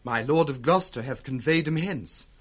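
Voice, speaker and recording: A man's voice: mid-range voice, normal speed, husky, natural voice. A man playing a dutiful steward, reporting formally in 1960s Shakespearean radio drama. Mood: dutiful, urgent. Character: brisk, dutiful male steward reporting news.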